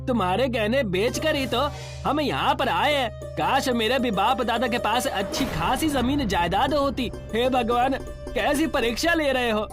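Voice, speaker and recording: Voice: mid-range voice, animated, a little slow, voice pushed up, natural voice. A narrator playing a humble, worried, earnest male South Indian dosa street vendor in a cartoon story, often ending phrases with a respectful tag. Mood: worried, dejected. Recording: music behind the voice.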